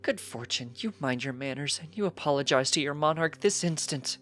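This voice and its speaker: Man voicing a proper, higher-pitched mother pony. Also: lively intonation, brisk, a bit bright, soft background music. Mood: scolding. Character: proper, sensible mother pony, calm but firm.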